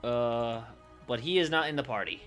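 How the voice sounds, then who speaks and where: A man streaming casually, thinking aloud in a musing, hesitant way with pauses mid-thought. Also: medium pitch, fairly bright tone, faint music behind.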